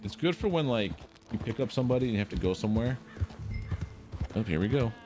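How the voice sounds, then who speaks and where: A man's voice: mid-range voice, expressive, fast talker, over music. A man giving casual, playful live game commentary, often talking to himself as he plays. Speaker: game streamer. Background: video game ambience, horse hoofbeats.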